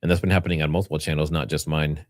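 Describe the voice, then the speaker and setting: A man's voice: rumbling low, flat delivery, racing, dry recording, clean studio sound. A man hosting a livestream, giving informal, rambling commentary and partly reading from notes. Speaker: livestream host.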